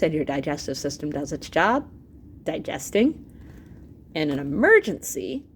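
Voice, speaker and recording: A woman reads an illustrated science book aloud like a teacher to students. Her mid-pitched voice moves up and down in a lively way, and she explains terms as she goes. There is a little background noise. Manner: explanatory, expressive, pausing to clarify terms.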